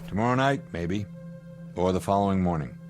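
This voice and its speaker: A low-voiced spaceship commander in a science fiction radio play. He is gruff, practical and impatient, and talks in firm, clipped commands over prominent background music. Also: animated, brisk. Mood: matter-of-fact. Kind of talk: audio drama acting.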